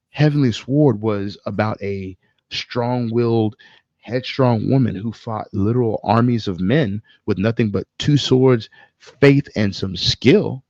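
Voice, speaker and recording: A man co-hosting a casual podcast, speaking in an opinionated, emphatic way with swearing and halting pauses. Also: mid-range voice, darkish tone, dry recording, highs cut off. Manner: profane, emphatic, halting with pauses mid-thought. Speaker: podcast co-host. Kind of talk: casual film discussion, opinionated ranting.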